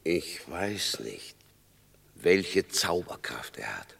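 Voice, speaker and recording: An actor playing a cautious, loyal lieutenant in a classical radio play, speaking deliberately and with deference. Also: male voice, low-pitched, very animated, vocal fry, fairly bright tone, breathy edge, heavily acted. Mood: uneasy counsel. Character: cautious, loyal lieutenant warning his lord.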